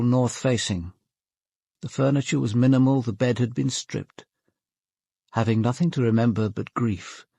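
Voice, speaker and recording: Reflective British detective with a gravelly edge. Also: male voice, medium pitch, a little mellow, no echo, clean studio sound. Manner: measured, formal, literary. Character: reflective, probing male senior police detective. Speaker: actor narrating as the detective. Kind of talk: British radio drama narration.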